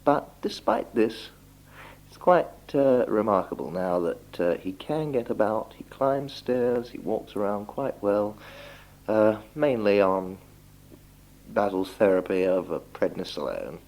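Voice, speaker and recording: A young man from England talks in a deep, gravelly voice. He speaks informally but politely, pausing and choosing his words as he records a message for relatives. It sounds like a home reel-to-reel tape from the 1960s. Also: a little mellow, poor audio. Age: young adult. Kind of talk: spoken letter, informal monologue.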